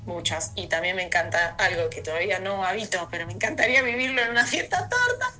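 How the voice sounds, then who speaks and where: An Argentine woman telling a personal story in a recorded message, reflective and hesitant, pausing between phrases. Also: mid-range voice, lively intonation, bright, forceful tone, faint music behind.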